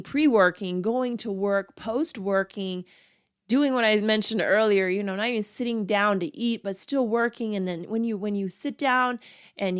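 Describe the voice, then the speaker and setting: A woman's voice: medium pitch, clear, resonant tone. Congested woman hosting a podcast. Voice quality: nasal. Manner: earnest, repeats words for emphasis. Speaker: podcast host. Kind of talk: solo podcast talk.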